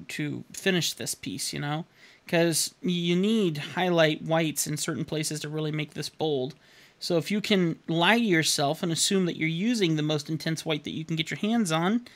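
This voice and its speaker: A man in his thirties streaming live as he paints, rambling in a self-deprecating way and chuckling often, talking into a headset in a home studio. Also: fairly high pitch. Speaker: caricature artist streaming. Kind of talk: casual live-stream narration while painting. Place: home studio room indoors.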